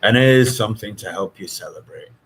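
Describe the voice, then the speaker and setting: A man voicing a friendly old chip shop owner in a story, with hearty, drawn-out greetings. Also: on the low side, slight background noise, lightly acted. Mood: cheerful. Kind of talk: audiobook character voice.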